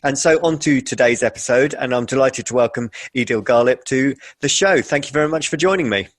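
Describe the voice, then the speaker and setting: Quick-talking male podcast host. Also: medium pitch. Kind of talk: podcast introduction and interview questions.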